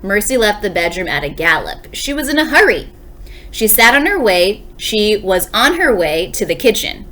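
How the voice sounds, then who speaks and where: A woman's voice: medium pitch, sing-song, normal speed, fairly bright tone. A woman, a second grade teacher, reading a storybook aloud expressively and explaining it for young listeners. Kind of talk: expressive children's read-aloud.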